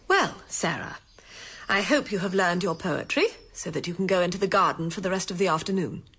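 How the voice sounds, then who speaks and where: An actress playing an imperious middle-aged college headmistress in a radio drama, with a husky, haughty, clipped delivery. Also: female voice, on the low side, lively intonation, a little fast, fairly bright tone. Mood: brisk, severe.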